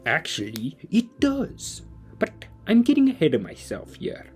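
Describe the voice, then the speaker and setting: A man playing a kindly, learned, wordy gentleman who explains things formally in an audio drama. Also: a little high, wildly swooping pitch, unhurried, faint music behind. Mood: earnest, explanatory. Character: kindly, learned, verbose gentleman leading a group of children. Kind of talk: audio drama dialogue and narration.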